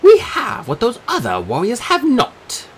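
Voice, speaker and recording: A man voicing a trio of cold, ancient Valkyrie warrior women in an audiobook, slow and threatening. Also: very high voice, huge pitch swings, slightly rough, voice pushed up, full character voice. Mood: menacing. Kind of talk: audiobook character voice.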